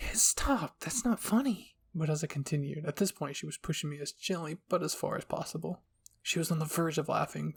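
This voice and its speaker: A man's voice: fairly high pitch, creaky, breathy edge. A man narrating a scripted first-person story as the host of an audio channel.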